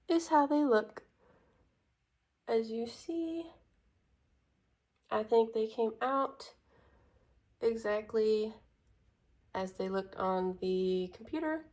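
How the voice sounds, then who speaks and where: A woman narrating her small business vlog, stuffy and congested, walking through her work in a soft, unhurried way. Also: on the high side. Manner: slow, soft-spoken walkthrough.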